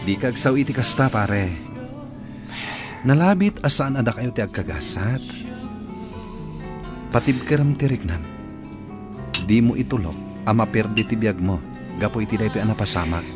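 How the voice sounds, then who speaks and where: A man's voice: on the low side, expressive, moderate pace, pauses often, over music, natural voice. An actor playing a caring male friend in a radio drama, earnest and reassuring. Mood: firm, consoling. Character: steady, caring male friend consoling and questioning.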